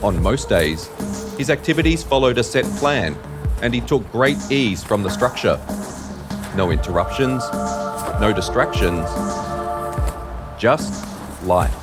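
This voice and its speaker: A man's voice: fairly low pitch, lively intonation, unhurried, long pauses, loud music behind the voice. A man reading scripted narration for an audio drama.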